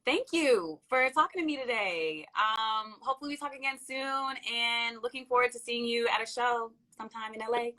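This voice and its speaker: A woman's voice: a little high, normal speed, hardly any pauses, bright, forceful tone. A young woman hosting a casual interview over a video call from a room at home, upbeat, chatty and playful.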